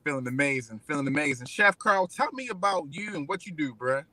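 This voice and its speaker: A man's voice: a little high, animated, a little fast, sharp tone. A man co-hosting a live-stream show, talking in a laid-back, slangy way and laughing easily. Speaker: co-host. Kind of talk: casual live-stream chat.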